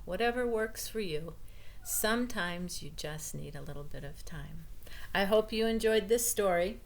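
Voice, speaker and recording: A woman's voice: fairly low pitch, a little hiss or hum. A woman reading a children's story aloud and chatting simply and expressively to young listeners.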